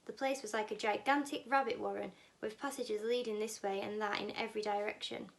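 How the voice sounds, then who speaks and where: Creaky-voiced woman reading a children's story. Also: medium pitch, steady tone, a little fast. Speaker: storybook reader, teaching assistant. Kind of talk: scripted children's story narration.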